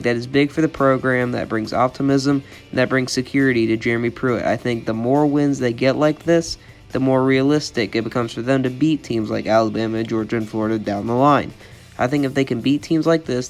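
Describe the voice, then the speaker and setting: A young man with a cold, sounding congested, giving college football predictions in an opinionated, repetitive way as a channel host. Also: medium pitch. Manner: monotone, opinionated, repeats "I think".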